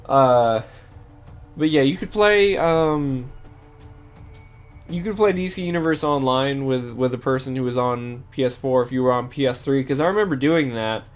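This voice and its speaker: A man's voice: medium pitch, moderate pace, clean tone. Young male streamer, stuffy nose, rambling with fillers, faint music behind. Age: young adult. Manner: rambling, lots of fillers and self-corrections. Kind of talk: casual gameplay stream chat.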